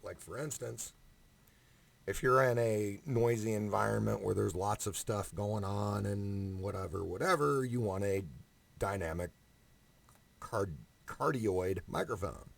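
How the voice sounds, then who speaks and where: A man with a fairly low voice and a strong gravelly creak delivers a casual vlog rant. He is blunt and emphatic, swearing freely as he makes his points. He speaks very close to a condenser microphone. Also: unhurried. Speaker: amateur singer giving audition advice. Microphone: condenser microphone up close, no preamp.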